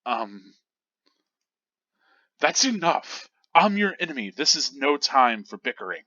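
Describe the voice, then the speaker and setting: A man's voice: medium pitch, big pitch swings, slow pace, bright, forceful tone, no echo, dull audio, voice pushed up, exaggerated voice. A man voicing a stiff, proud young male rival who speaks in a formal, clipped way. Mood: stern.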